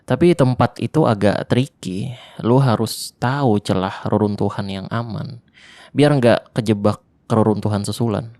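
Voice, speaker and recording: A soft-spoken, lonely young survivor of a zombie apocalypse, played by a man in an ASMR roleplay. He talks slowly and intimately in Jakarta slang, with a somewhat low voice. The sound is close and bone-dry, with no room echo. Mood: hushed. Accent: Jakarta colloquial Indonesian.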